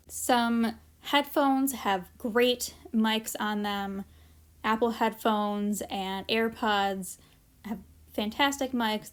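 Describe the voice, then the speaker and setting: A woman presenting an informal instructional workshop, explaining practical tips in a conversational way with casual asides. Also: a little high.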